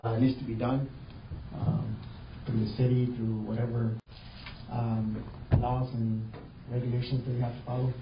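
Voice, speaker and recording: Flat-voiced councilman giving approval. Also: medium pitch, moderate pace, background noise. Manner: agreeable, conditional approval. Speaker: council member. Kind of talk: comment at a council meeting. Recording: echoey, dull council chamber audio.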